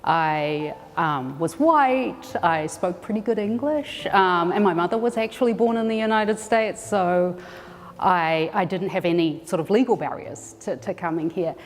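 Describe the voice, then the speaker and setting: A woman with a lowish voice speaks thoughtfully about her work as a scholar, pausing often and restarting phrases as she works her thoughts out. Her voice carries a long reverb tail, as if in a large space. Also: a little hiss or hum. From New Zealand. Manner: thoughtful, hesitant with frequent ums and restarts. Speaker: scholar and educator. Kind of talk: reflective interview answers.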